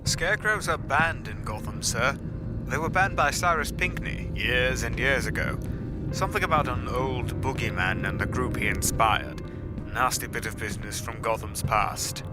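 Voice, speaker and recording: A man's voice: mid-range voice, normal speed, natural voice. A man playing a loyal, dryly sardonic butler in an audio drama, speaking in a formal, deferential way. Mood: dry, informative. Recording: loud music under the voice.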